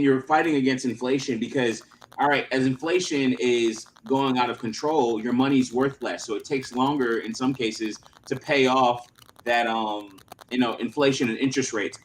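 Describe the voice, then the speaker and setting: A man's voice: medium pitch, poor audio. Casting: male host, thirties, loose slangy talk, faint noise behind. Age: 30s. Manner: rambling, filler-heavy, slangy. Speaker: channel host. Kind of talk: casual livestream chat.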